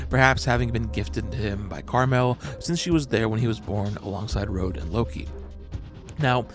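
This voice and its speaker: A man giving a measured, analytical scripted narration as host of a manga breakdown channel. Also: medium pitch. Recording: voice-over with music underneath. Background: background music.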